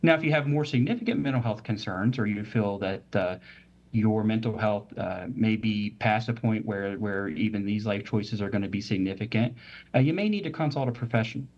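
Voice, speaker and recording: A man speaking as a medical guest on a talk show, explaining mental health in a steady, methodical way with frequent "uh" and "um". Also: on the low side, brisk, dull audio. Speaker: physician guest.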